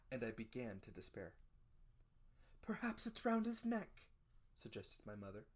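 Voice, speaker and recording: A narrator giving a dramatic scripted reading of a classic adventure story, with expressive, theatrical emphasis. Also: medium pitch. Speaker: audiobook narrator.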